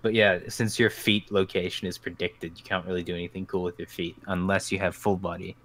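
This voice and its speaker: Low-voiced profane podcast host. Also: male voice, little pitch movement, fairly quick, a bit bright. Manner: profane, rambling, self-mocking. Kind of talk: casual podcast chat.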